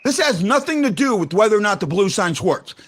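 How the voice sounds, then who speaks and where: A man hosting a fan talk show, loud and excitable, rambling and restarting his sentences as he argues his point. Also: sits high, fairly quick. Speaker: podcast host. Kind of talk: heated hockey fan call-in talk. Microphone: headphones.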